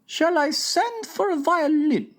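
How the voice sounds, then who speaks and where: Brief: prim regal queen voiced by a woman, very high, slow, lilting. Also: animated. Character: regal queen, dignified, politely puzzled. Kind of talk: storybook reading.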